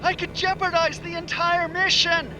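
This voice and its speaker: An actor playing an anxious, sarcastic anthropologist in an audio drama, with a nervy delivery. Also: male voice, very high voice, little pitch movement, moderate pace, background noise. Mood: nervous, bitter. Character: anxious, sarcastic male anthropologist tormented by visions.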